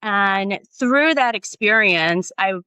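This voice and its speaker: Woman from brazil talking earnestly in an interview, smooth crisp voice, lots of "you know". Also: mid-range voice, expressive, slow pace, sharp tone, no echo. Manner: earnest, reflective, with frequent "right?" and "you know". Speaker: guest, nonprofit founder. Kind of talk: conversational interview.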